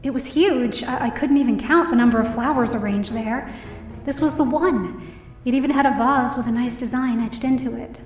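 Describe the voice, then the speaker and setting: A woman's voice: on the high side, moderate pace, close to an everyday voice. An actress playing an anxious young woman who narrates her own story in an audio drama, confiding and self-deprecating. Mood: wistful, hopeful. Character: anxious, downcast young woman, daughter of a hospitalized mother. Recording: roomy, distant-sounding voice over music.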